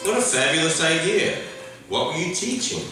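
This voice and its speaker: A man's voice: on the high side, big pitch swings, normal speed, over music. A man playing a polite, dry nephew questioning a grandiose uncle in an old-time radio comedy, staged live in a community room. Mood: politely probing. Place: library community room before an audience. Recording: echoey live room, distant mic.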